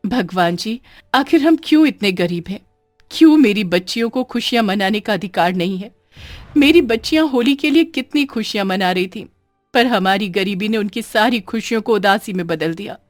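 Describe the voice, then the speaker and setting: A woman voicing a poor, tender village mother in a cartoon moral story, plaintive and expressive. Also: medium pitch, normal speed, no room sound, soft background music, lightly acted. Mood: sorrowful prayer. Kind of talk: animated moral story acting.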